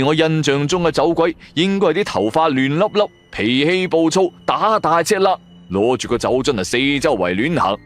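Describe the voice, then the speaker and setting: A male narrator with a Cantonese accent tells a dramatic story in the first person. His pitch moves widely and his delivery is colloquial and theatrical, in the manner of a radio drama. Music plays softly behind him.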